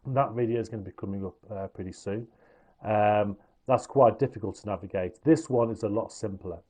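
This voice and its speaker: A man's voice: on the low side, a little fast, darkish tone. A man explaining how a gadget works step by step, in an informal hands-on review style. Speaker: product reviewer.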